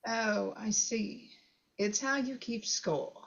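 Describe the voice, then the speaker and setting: A teasing, impatient young companion played by a woman in a sci-fi script read. Her voice is high and the pace is slow, with a challenging, sardonic edge. The recording is a little dull and lo-fi. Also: gravelly, heavily acted. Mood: sardonic, unimpressed. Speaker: actor in a script table read. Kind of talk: dramatic script table read.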